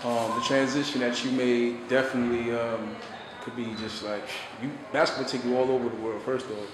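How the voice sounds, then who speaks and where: 男主持人，声音在房间里回荡，离麦克风较远. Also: male voice, medium pitch, level tone, a little fast, recorded from a distance. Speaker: interviewer, show host. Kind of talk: casual documentary-style basketball interview. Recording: echoey room from afar, background noise.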